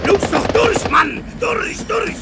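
Radio drama: frantic army train commander, very high strained shouts, loud train noise. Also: male voice, animated, slow pace, creaky, full character voice. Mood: commanding, urgent. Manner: shouting, urgent military commands. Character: frantic German wartime train commander barking orders.

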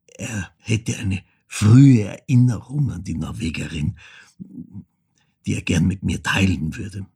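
An actor playing a reflective man recounting childhood memories in a radio play, with a husky, gravelly voice and halting phrasing. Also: on the low side, darkish tone, heavily acted. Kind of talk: literary first-person radio drama monologue.

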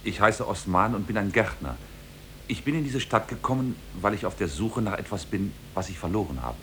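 An actor playing a shifty robber who poses as a humble gardener, submissive and pleading, in 1950s radio drama style. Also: male voice, lively intonation, brisk, a bit bright. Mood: evasive, feigning innocence. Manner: submissive, pleading before authority. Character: murderous Kurdish robber posing as humble gardener, cringing. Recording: noisy 1950s radio recording with rumble.

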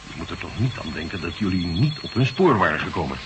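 A man playing a calm Russian marshal in a radio play, measured and explanatory. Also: deep voice, noisy background. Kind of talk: 1980s radio play acting.

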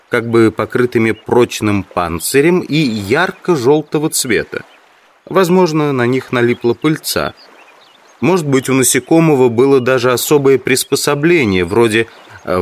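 A man playing an earnest, obsessive amateur entomologist in an audio drama, musing in a deliberate, reflective monologue. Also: medium pitch.

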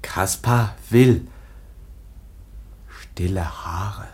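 A man playing a timid, childlike young foundling in a German radio drama, speaking in broken, halting toddler-like phrases. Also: sits low, darkish tone, breathy edge, heavily acted. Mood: halting, innocent.

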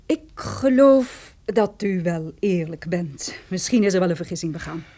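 An actress playing a brisk, stern young female lawyer in a radio drama, speaking in a precise, businesslike way. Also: fairly low pitch, lively intonation, dark tone. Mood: cool, official. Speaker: supporting actress. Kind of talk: radio detective drama acting.

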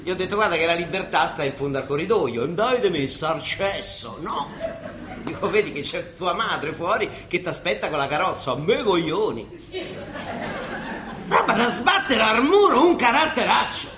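An actor playing a sardonic, exasperated old monsignor in a radio play, speaking with a colloquial Roman accent. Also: male voice, high-pitched, lively intonation, moderate pace, roomy sound, a crowd in the background. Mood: bitterly ironic. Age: older. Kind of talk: Italian theatrical radio comedy-drama acting.

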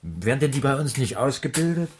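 A man's voice: mid-range voice, very animated, fairly quick, a little hiss or hum, close to an everyday voice. An actor playing a talkative, jovial circus man who chats colloquially in radio drama style. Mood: opinionated. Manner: colloquial, jovial, tags sentences with questions. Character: chummy, talkative circus man sharing beer and cigars. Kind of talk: 1980s German radio drama acting.

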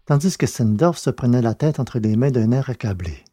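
A man's voice: mid-range voice, expressive, normal speed, mellow, no room sound, clean studio sound. A man reading scripted narration for a science-fiction audio drama in French.

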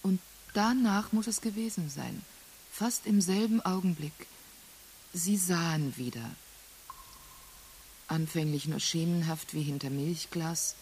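An actress playing a careful questioner in a radio play, prompting in a measured, suggestive way. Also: female voice, on the low side, darkish tone. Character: careful female questioner guiding a man's recollection. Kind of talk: radio play acting, dialogue. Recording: hissy early 1980s radio play recording.

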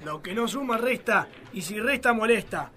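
A man's voice: sits high, animated, normal speed, bright, forceful tone. A man playing an arrogant, scheming rich villain in a live radio play, bossy and scornful. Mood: scheming, condescending. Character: arrogant, scheming, sexist rich man plotting with a police chief.

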